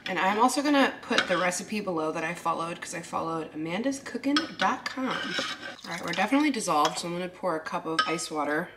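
Casual woman vlogger with a slightly low voice, narrating her baking over clattering dishes. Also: animated, fast talker, heavy background noise. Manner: chatty, narrates each step, giggles often. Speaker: lifestyle vlogger. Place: home kitchen.

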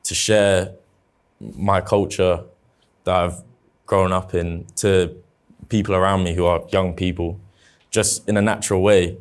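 A man's voice: deep voice, flat delivery, unhurried, pauses often. A young man speaking casually as a food vlogger guest on a panel. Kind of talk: panel discussion.

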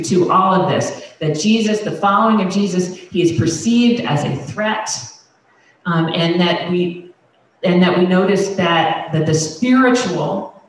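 A woman's voice: on the low side, recorded from a distance, a little hiss or hum. A middle-aged woman pastor preaching a conversational sermon into a handheld microphone, often restarting her sentences. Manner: gestures broadly while speaking. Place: large wood-paneled church sanctuary. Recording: echoey church sanctuary, voice from afar.

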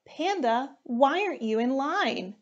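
Female animal schoolteacher for a storybook, read by a woman: calm, caring, instructive, higher-pitched. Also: unhurried, highs cut off. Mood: reassuring.

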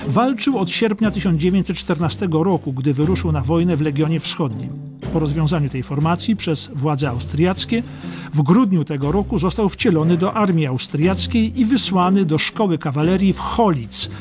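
High-pitched man narrating over music. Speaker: audiobook narrator. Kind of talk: scripted documentary history narration.

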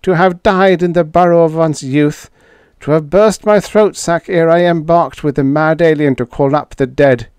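A man voicing a frantic, hissing alien who raves in a shrill, high voice. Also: normal speed, a little mellow, smooth, no echo, close to an everyday voice. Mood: agitated. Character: frantic hissing alien male, fearful and dramatic.

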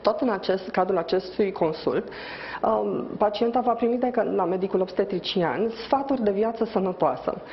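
A middle-aged woman obstetrician explaining things calmly as a guest on a TV interview, with measured speech and frequent hesitant fillers, on a lapel mic in a hospital lobby. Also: medium pitch. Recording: dull, slightly noisy broadcast audio.

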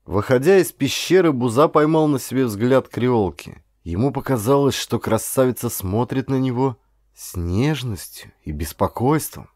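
A man reads an adventure story as a dramatic audiobook. His medium-pitched voice moves widely in intonation. He delivers it theatrically, with deliberate pauses. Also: expressive, a little mellow, no echo, clean studio sound. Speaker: audiobook narrator, actor. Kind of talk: dramatic scripted audiobook narration of historical adventure.

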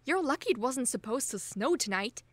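Chatty, gently teasing young woman, acted. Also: high voice, animated, normal speed, dry recording. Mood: caring, playfully insistent. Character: friendly, caring, teasing young woman hosting her crush. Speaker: voice actor in an audio roleplay. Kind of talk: intimate ASMR-style audio roleplay, casual one-sided chat.

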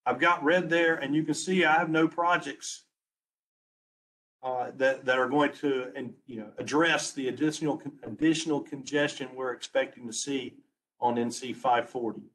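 A man presenting on a webinar as a transportation planner, losing his voice and talking haltingly, restarting his words. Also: medium pitch, fairly even intonation, a bit bright, rough recording. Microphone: video call.

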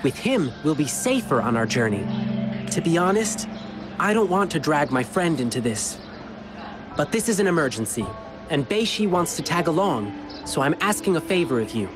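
A man playing an earnest, friendly young lion dancer in a video game, speaking in an expressive, reassuring way. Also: on the high side, moderate pace. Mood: concerned, reassuring. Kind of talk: video game character dialogue. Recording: game music behind the voice. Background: game music.

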